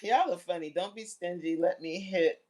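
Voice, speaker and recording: A woman's voice: lowish voice, normal speed, mellow. A woman in her forties chatting casually with live viewers as host, slangy, teasing and giggly in manner. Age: 40s. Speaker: livestream host. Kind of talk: casual chat with live viewers reading comments.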